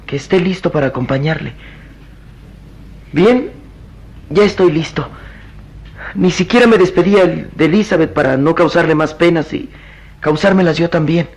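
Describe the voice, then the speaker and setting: A man playing a tender, troubled young gentleman doctor in a radio drama, with an earnest, deliberate delivery. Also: fairly high pitch. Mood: resigned. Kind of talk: Latin American radio drama acting.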